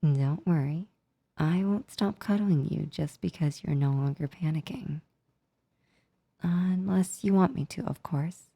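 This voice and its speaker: A woman voicing a gentle, affectionate demon in an ASMR roleplay, soothing and tender, with a soft, breathy, near-whispered delivery. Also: deep voice, no room sound, exaggerated voice. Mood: reassuring, affectionate. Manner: slow, soothing, tender, unhurried. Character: gentle, comforting female sleep paralysis demon, affectionate and reassuring.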